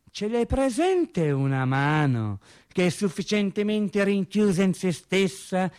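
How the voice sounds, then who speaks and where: A man's voice: high-pitched, a bit bright. A man with a Tuscan accent playing an officious, menacing factory safety instructor with pedantic, bureaucratic wording and an aggressive manner.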